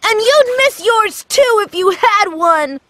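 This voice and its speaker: A woman voicing a headstrong, emotional young boy in a children's cartoon, childlike and expressive. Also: very high-pitched, slow, exaggerated voice. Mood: taunting, angry.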